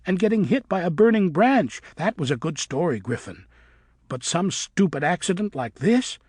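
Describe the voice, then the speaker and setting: Man doing a shrill, furious young female bat. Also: lively intonation, slow, dry recording, clean studio sound, heavily acted. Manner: shrill, shouting, wailing.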